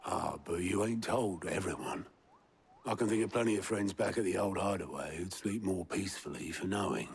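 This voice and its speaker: An actor playing a gruff but caring male comrade in a video game, talking in a colloquial, wry, familiar way. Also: low voice, moderate pace, heavily acted. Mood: earnest urging.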